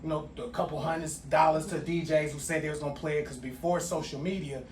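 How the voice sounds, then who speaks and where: A middle-aged man hosting a podcast in relaxed, slangy casual chat. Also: fairly high pitch, fast talker. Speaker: podcast host. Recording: echoey room from a distant mic, noisy.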